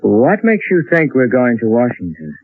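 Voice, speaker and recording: A man's voice: mid-range voice, huge pitch swings, moderate pace, slightly rough, no echo, full character voice. A man acting as a professor's eager, inquisitive assistant in an old-time radio drama, deferential and full of questions. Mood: puzzled. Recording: noisy, tinny old radio recording.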